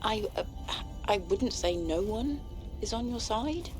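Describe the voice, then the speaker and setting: A woman playing a warm, supportive and slightly rueful scientist parent in a video game audio log, expressive and informal. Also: mid-range voice, a little slow, music in the background, lightly acted.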